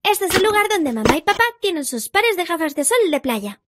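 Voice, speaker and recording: A woman's voice: very high-pitched, big pitch swings, brisk, heavily acted. A woman voicing a cheerful little girl hippo in a children's cartoon, playful and instructive in manner. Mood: eager, playful. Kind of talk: children's interactive cartoon dub. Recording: loud music behind. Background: music.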